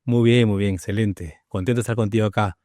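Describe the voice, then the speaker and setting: A man's voice: lowish voice, fairly even intonation, fast talker, a little mellow, no room sound. Talkative male podcast host, dry sound. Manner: rambling questions with many "¿no?" tags. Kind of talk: podcast interview.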